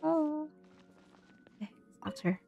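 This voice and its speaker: A woman's voice: high voice, expressive, slow, creaky, mellow, soft background music. A woman giving casual, reactive game commentary in short murmured phrases to herself, at times reading text aloud. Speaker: game streamer.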